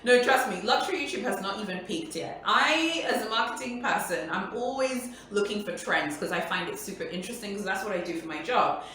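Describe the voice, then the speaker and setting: A woman's voice: mid-range voice, animated, brisk, few pauses, noisy background. A woman whose first language is Swahili, hosting a casual Q&A for her viewers in a chatty, emphatic way and repeating her points. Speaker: luxury YouTuber host. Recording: echoey room, voice far from mic.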